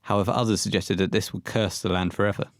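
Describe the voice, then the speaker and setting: Low voiced guy narrating a horror audio story, scripted read, close dry mic. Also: fairly even intonation, fast, dry recording.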